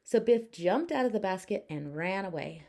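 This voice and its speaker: A woman teacher narrating a children's storybook aloud with expressive, deliberate phrasing. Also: mid-range voice, slight background noise.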